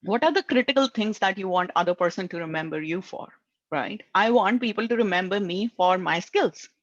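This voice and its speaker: A woman's voice: mid-range voice, expressive, fairly quick, dry recording, dull audio. Indian-accented woman giving career advice. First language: Indian language. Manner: earnest, tags lines with "right?". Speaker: career coach. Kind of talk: advice talk.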